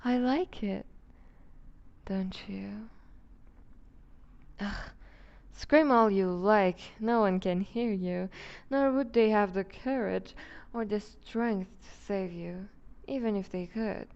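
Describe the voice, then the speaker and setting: Creaky, slow-talking seductive vampire duchess, played by a woman. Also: mid-range voice, darkish tone, highs cut off. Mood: coaxing, seductive. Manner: velvety teasing, condescending, unhurried, often dropping to whispers. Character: seductive, dominant vampire duchess, predatory and possessive. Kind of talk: ASMR roleplay, intimate scripted monologue.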